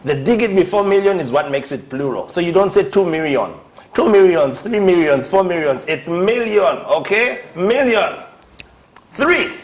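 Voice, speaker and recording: A man presenting a scripted TV segment in an emphatic, scolding, animated way. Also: sits high, moderate pace.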